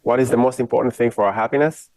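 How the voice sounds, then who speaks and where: A man's voice: medium pitch, fairly even intonation, quick pace, darkish tone, no room sound. A man from Israel giving a university lecture off the cuff, speaking frankly and giving his own opinions.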